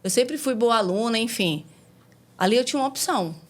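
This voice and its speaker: A woman's voice: fairly high pitch, animated, brisk. A woman in her forties from Salvador with a Bahian accent, frankly telling the story of her career in a casual conversation, with slang and the odd swear word. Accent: Bahian Portuguese. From Salvador, Brazil. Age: 40s. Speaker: guest.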